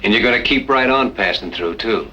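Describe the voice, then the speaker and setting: An actor playing a terse, stubborn, weary cattle rancher with an American Western accent, dry in manner, in an old-time radio western. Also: male voice, fairly low pitch, fairly quick, pushed and bright. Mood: hard, challenging. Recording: dull, hissy old-time radio recording.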